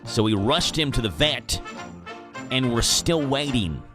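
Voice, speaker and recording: A man's voice: mid-range voice, expressive, a little slow. A man with a Kentucky accent hosting a morning radio show, reading ads and weather in playful, sing-song patter. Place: radio studio. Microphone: studio mic. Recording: radio broadcast with music bed.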